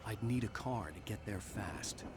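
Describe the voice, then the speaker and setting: Actor as low-voiced brooding male hero, noisy backdrop. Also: a little slow, lightly acted. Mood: brooding, wry. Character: weary, wry male hero narrating his night. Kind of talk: past-tense video game narration. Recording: loud game ambience noise.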